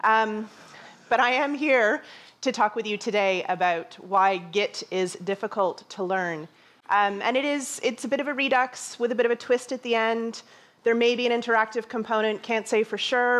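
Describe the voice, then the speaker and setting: A woman's voice: on the high side, a bit bright. A woman in her thirties with a Canadian accent, giving a conversational conference talk on stage with dry asides, on a headset mic. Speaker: conference speaker. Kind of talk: conference presentation. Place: large dark theater stage.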